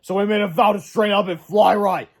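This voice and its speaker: A man's voice: high-pitched, steady tone, fast, creaky, voice pushed up. A man voicing a strict, self-satisfied middle-aged male teacher in a storybook. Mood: smug.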